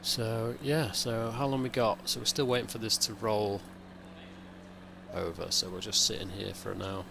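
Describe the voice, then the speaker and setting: A man streaming a sim race, with a croaky, hungover voice, rambling and thinking aloud in casual chat. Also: fairly low pitch, little pitch movement, normal speed, background noise. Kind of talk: casual live-stream commentary.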